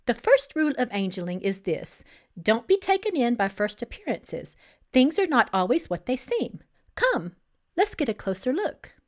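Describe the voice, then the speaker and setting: A wise female angel , voiced by a woman reader teaching her pupil in a novel. She speaks in a measured, instructive way, high and with animated pitch.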